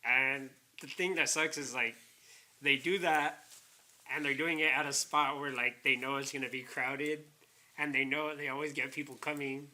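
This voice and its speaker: Sharp-voiced man, slightly raspy. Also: mid-range voice, steady tone, moderate pace, poor audio. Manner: casual, filler-heavy with "it's like". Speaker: DJ guest. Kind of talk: casual interview chat.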